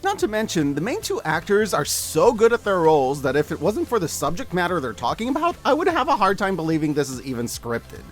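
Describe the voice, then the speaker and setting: A man narrating a scripted review video as its host, in a casual, wry and expressive way. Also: sits high, brisk, hardly any pauses. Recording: background music under the voice. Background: background music.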